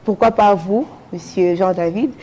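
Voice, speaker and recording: A woman's voice: medium pitch, unhurried, dark tone, poor audio. A woman with a Congolese accent speaking as a charity guest in a TV studio, making an earnest appeal with repeated phrases. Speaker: charity association representative, TV guest.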